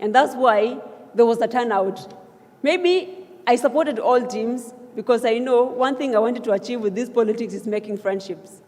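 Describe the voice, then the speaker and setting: A woman's voice: on the high side, normal speed, a little mellow. A young woman from South Sudan giving an earnest, emphatic acceptance speech as a newly elected student association leader, rising to calls of thanks. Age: young adult. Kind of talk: acceptance speech at a swearing-in ceremony. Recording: long reverb of a large hall.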